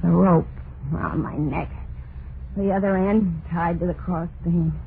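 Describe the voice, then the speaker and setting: An actress playing a terrified, unhinged wife in an old-time radio horror monologue, halting and overwrought, full of guilt and dread. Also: female voice, fairly low pitch, steady tone, gravelly. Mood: fearful despair. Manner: halting, overwrought, drawn-out dramatic delivery. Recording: noisy narrow-band vintage radio recording.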